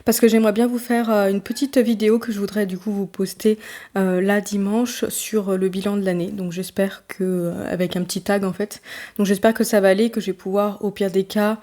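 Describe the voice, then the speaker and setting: A woman's voice: mid-range voice, steady tone, a little fast, dark tone. A woman chatting casually in a book vlog, talking loosely with many fillers and restarts. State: congested with a summer cold, tired. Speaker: book vlogger.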